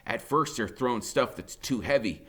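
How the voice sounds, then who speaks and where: A man's voice: medium pitch, animated, moderate pace, a bit bright. A man reading a novel aloud as dramatic first-person narration, emphatic and suspenseful.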